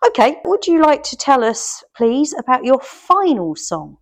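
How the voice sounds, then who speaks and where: A woman's voice: high-pitched, sing-song, a little slow. A woman hosting a radio interview, talking conversationally and attentively with her guest between songs.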